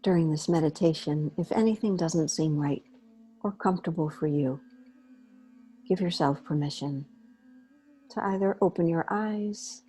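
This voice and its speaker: Slow, low-voiced woman guiding a meditation. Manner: unhurried, gentle, pausing between short phrases. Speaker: guided meditation leader. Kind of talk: guided meditation, slow soothing instruction.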